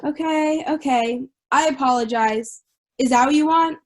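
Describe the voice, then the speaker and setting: A woman's voice: sits high, unhurried. A woman playing an irritable, prejudiced, hot-tempered juror, blunt and indignant, in an amateur audio drama. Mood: fed up.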